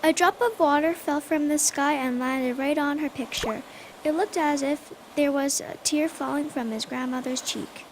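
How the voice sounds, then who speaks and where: A woman's voice: sits high, normal speed, a little hiss or hum, low-quality recording. A young schoolgirl reading scripted narration for a radio drama. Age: child, about 10.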